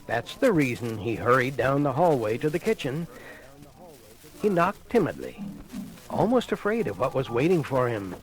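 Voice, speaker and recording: A man narrating a children's Christmas read-along record in an animated, conversational way, addressing the listener with dramatic pauses, on a vintage 1980 vinyl recording. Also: medium pitch, low-quality recording. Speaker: storyteller. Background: music.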